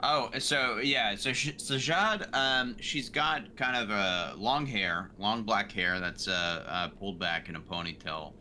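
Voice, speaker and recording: A man with a deep voice and a very sharp, bright edge takes part in a tabletop roleplaying game as a player. He describes his character in casual, conversational terms. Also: a little slow, hardly any pauses, a little hiss or hum.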